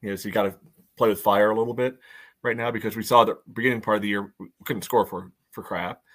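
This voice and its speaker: A man's voice: on the low side, fairly even intonation, quick pace, a bit bright, husky. A man on a soccer fan podcast giving his opinions in casual sports talk.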